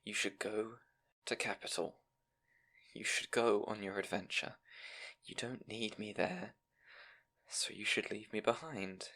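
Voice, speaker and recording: A man acting as a timid young fantasy scribe, full of self-doubt. He speaks slowly and softly, halting and stammering as he goes, in an intimate audio roleplay style. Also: on the low side, heavily acted. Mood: distressed, self-doubting. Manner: nervous, halting, stammering, soft-spoken.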